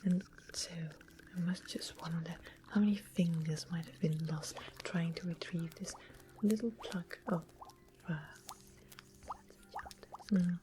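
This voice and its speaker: A woman plays a sweet but sly medieval fantasy witch in an ASMR roleplay. She whispers in a fairly low voice, musing unhurriedly as she explains things. Clear background ambience runs under her words. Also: fairly even intonation, slight background noise. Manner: slow, musing, unhurried explaining with small pauses. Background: soft music and ambience.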